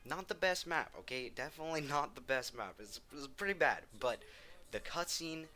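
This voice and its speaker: A man's voice: a little high, expressive, normal speed, sharp tone. A man giving casual, opinionated countdown commentary on video games, enthusiastic and emphatic, repeating words for stress. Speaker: video game ranking host.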